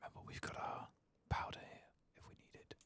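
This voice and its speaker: A man giving soft-spoken, often whispered ASMR game commentary in a gravelly, musing mutter. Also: quick pace. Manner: musing, muttering understated reactions. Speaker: ASMR streamer playing a video game.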